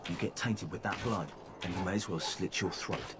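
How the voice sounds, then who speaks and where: An actor playing a grim veteran soldier who gives blunt warnings. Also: male voice, low-pitched, normal speed, noisy background. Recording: game dialogue over camp ambience.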